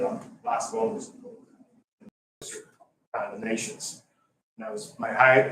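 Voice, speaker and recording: An older man, a lawyer, speaking off the cuff at a city meeting, rambling and halting with many restarts. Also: mid-range voice, fairly bright tone. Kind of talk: unscripted public comment. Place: council chamber. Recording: echoey chamber, distant mic, faint hiss.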